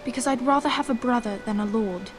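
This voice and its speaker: A woman playing a caring young noble girl, a protective older sister, in a fantasy game, speaking earnestly and gently with formal diction. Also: on the high side, fast talker, music in the background, natural voice. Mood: earnest, wistful. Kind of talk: dramatic fantasy game acting.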